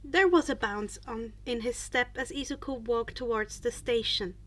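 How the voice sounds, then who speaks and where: A woman narrating a written story as a podfic reader, with expressive scripted delivery. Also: a little high, moderate pace.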